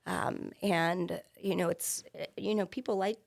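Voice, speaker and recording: A woman's voice: lowish voice, steady tone, unhurried, creaky, no echo, studio quality. A woman in her fifties, a teacher, speaking frankly and plainly as a guest in a casual interview. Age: 50s.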